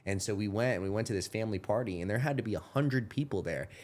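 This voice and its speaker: A man's voice: lowish voice, quick pace, darkish tone. A man of thirty hosting a podcast, talking in a rambling way with lots of self-corrections and casual swearing. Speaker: podcast host. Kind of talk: casual chat.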